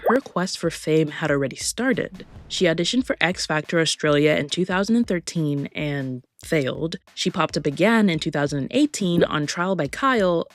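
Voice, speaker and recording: A woman hosting a commentary video, narrating in a sassy, casual way with swearing and chuckles. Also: fairly low pitch.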